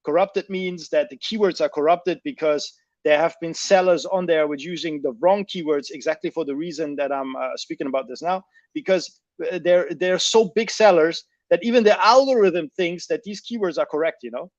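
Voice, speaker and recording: A man with a high voice, recorded dry and close with a dull, lo-fi tone. He talks through business points emphatically, circling back and rephrasing as he goes. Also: normal speed, no echo. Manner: emphatic, repeats and rephrases himself. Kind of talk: explanatory business discussion.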